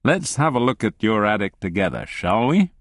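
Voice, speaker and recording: A man puts on a cruel thug who speaks lower and softer than his narrating voice, calm and amused while he threatens. The voice sounds dry and close, with no room around it. He never rushes and lets each mocking word settle. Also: dry recording. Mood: quiet menace. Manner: soft, unhurried, mocking.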